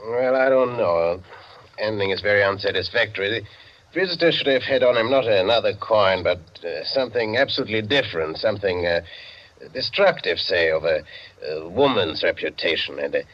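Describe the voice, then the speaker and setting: An actor playing a skeptical, hard-to-please clubman in a 1940s radio drama, speaking in a deliberate, hesitant, disdainful way. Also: lowish voice, lively intonation, lightly acted. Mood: dissatisfied. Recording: dull, noisy 1940s radio recording.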